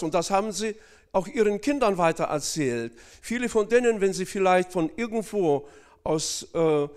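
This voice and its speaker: A man from Northern Kazakhstan preaching a sermon, speaking hesitantly with many fillers and addressing the congregation directly. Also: sits high.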